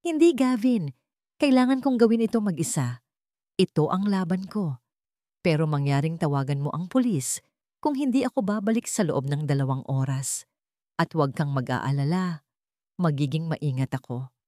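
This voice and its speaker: A woman's voice: deep voice, expressive, normal speed, long pauses, warm tone, no room sound, studio quality. A woman voicing a grieving, resolute young heroine with a firm, determined manner in a romantic audio drama.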